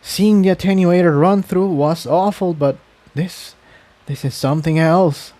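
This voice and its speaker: A sensitive young mare from a storybook, acted out by a man, higher than the narrator's usual voice. She speaks haltingly and with great earnestness. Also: dark tone. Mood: shaken. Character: sensitive young female pony, shaken but hardening.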